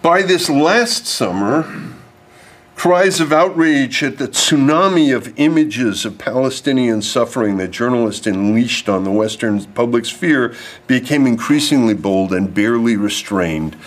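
A man's voice: mid-range voice, animated, unhurried. A man delivering a prepared lecture to an audience, reading formal text and adding off-script asides. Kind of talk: prepared speech read to an audience.

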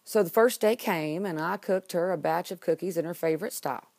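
A woman's voice: on the low side, a little fast, creaky, a little mellow, no room sound. Woman acting an exasperated, sarcastic teenage student. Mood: scheming, confiding. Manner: dramatic, sarcastic, emphatic. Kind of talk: comedic theatrical monologue.

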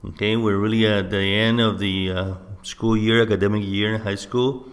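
A man from Cuba hosting an interview, talking haltingly with many fillers and restarts. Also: lowish voice, level tone. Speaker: host. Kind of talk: casual interview. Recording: roomy echo.

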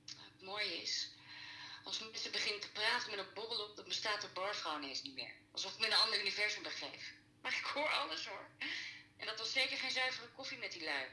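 Sharp, blunt ex-barmaid in echoey room. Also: female voice, mid-range voice, lively intonation, a little fast. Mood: bitter, scornful. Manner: blunt, scornful, folksy turns of phrase. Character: gruff, wary former café landlady, bitter and blunt. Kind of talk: naturalistic audio drama dialogue.

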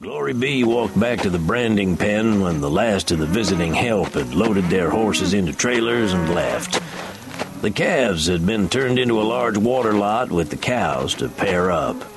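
A man's voice: on the low side, lively intonation, unhurried, gravelly. A man narrating fiction from a script, as an audiobook narrator.

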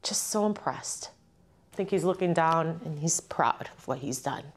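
Middle-aged woman reflecting, slightly low voice. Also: normal speed. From Puerto Rico-born, grew up in western Massachusetts from age two. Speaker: interview guest, mother and community organizer. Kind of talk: personal, reflective interview talk.

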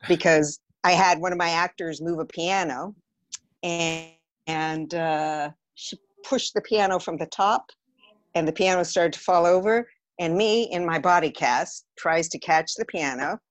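A woman's voice: on the low side, measured pace, dry recording. A woman, telling stories candidly and with self-deprecating humour as a guest in a casual interview. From Los Angeles area, United States. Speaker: podcast guest, theatre director.

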